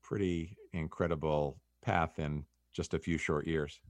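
A man interviewing a guest, asking measured questions and searching for his words. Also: rumbling low, steady tone.

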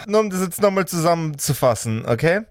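Sardonic, slightly high-voiced man as game master. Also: lively intonation, a little fast. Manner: mock-exasperated, sweary, sardonic. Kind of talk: casual tabletop game podcast talk.

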